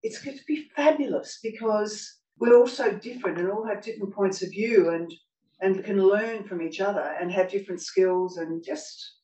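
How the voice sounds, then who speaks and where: A woman's voice: on the low side, mellow. Blunt, emphatic woman on a panel. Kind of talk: panel discussion answer. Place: event room with audience. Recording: echoey room from a distance, faint noise.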